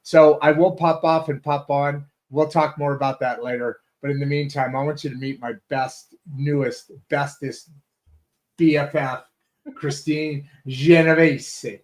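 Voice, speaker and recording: A talk show host with a slightly raised pitch, talking in a loose, rambling way. He restarts his sentences often and keeps circling back before he gets to his point. It sounds like a casual home-studio setup. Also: flat delivery, measured pace, darkish tone. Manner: chatty, rambling with many restarts and fillers. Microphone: video call setup from a home studio.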